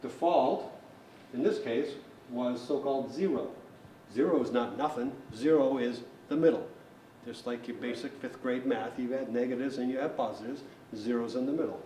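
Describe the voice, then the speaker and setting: A distant, echoey recording of a man with a middle-pitched voice, caught in a large hall with faint noise. He gives a technical tutorial in a plain, methodical manner, explaining equipment step by step. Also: moderate pace, mellow. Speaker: technician instructor. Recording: roomy echo, distant mic, faint noise.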